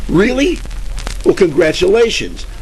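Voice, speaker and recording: A man's voice: high-pitched, huge pitch swings, measured pace, a little mellow, exaggerated voice. A man playing a wisecracking hardboiled private detective with slangy, wry tough talk in a parody of old radio detective dramas. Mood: wry, puzzled. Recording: crackly old-time radio recording.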